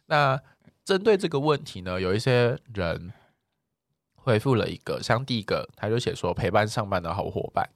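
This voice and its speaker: Male podcast co-host, Taiwanese Mandarin accent, talkative and expressive, very lively pitch swings, dry close recording. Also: moderate pace, no echo. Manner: talkative, expressive, mixes in English words. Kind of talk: casual chat podcast, reading listener replies.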